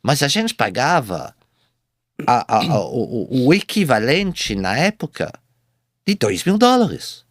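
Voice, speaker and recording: A man speaking Portuguese as a second language, a guest telling his business story in a halting way, with many repeated false starts. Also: medium pitch, huge pitch swings, no room sound, clean studio sound. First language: not Portuguese. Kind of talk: casual interview storytelling.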